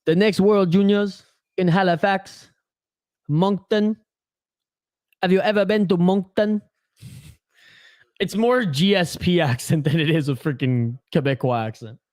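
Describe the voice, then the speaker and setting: A man's voice: sits high, fairly even intonation, measured pace, long pauses, a little mellow, no echo, clean studio sound. A young Canadian man hosting a live hockey watch-along, chatting casually, reading viewer comments aloud and swearing freely. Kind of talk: casual live-stream chat.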